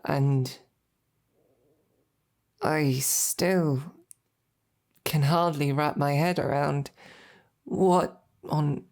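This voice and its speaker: A woman's voice: deep voice, steady tone, exaggerated voice. A woman playing a devoted, tender female knight in an intimate audio roleplay, speaking to her princess in a hesitant, earnest manner. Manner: hesitant, halting, softly earnest. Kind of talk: intimate scripted roleplay monologue to the listener.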